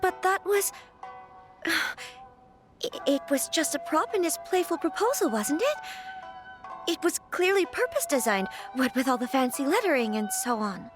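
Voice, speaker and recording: A woman's voice: high voice, big pitch swings, normal speed, music in the background. An actress voicing a troubled, polite young noblewoman in a video game, speaking earnestly and hesitantly. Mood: surprised. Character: troubled young noblewoman, polite and conflicted about her wedding. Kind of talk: video game voice acting, scripted dialogue.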